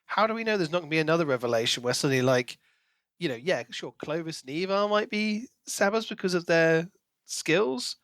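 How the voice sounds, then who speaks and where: A man's voice: fairly high pitch, animated, brisk, fairly bright tone, dry recording, studio quality. A man co-hosting a podcast in casual book discussion, acting out reactions as he retells. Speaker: podcast co-host.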